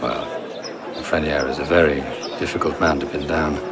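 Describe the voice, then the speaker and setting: An actor playing a cautious, formal silversmith held captive, in a video game cutscene. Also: male voice, extremely low pitch, level tone, normal speed, over a noisy crowd, very noisy. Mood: guarded, courteous. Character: male captive silversmith, cautious, courteous, secretive.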